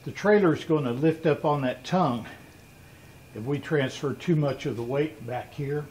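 An older man chatting casually and haltingly as he works, thinking aloud. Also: fairly high pitch, a little mellow. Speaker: machine restorer and channel host. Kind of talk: casual chat while working. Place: open shop doorway beside a trailer. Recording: noisy workshop recording.

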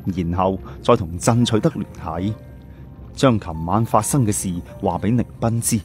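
A man's voice: lowish voice, moderate pace, darkish tone, faint music behind. A man narrating a serialized story in a scripted storytelling style, with colloquial asides. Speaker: audiobook narrator.

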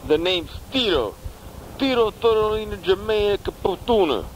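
A man with a high voice prank calls, halting and rambling in a mock-innocent way. Faint hiss sits under the line. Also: lively intonation. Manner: rambling, halting, fake naive, absurd. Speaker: prank caller. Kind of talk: prank phone call.

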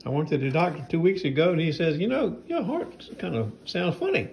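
A man's voice: fairly high pitch, wildly swooping pitch, a little fast, gravelly, darkish tone, a little hiss or hum. An older man narrating a casual home vlog, rambling and folksy, filming with a phone around his house and yard. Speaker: knife sharpener vlogger.

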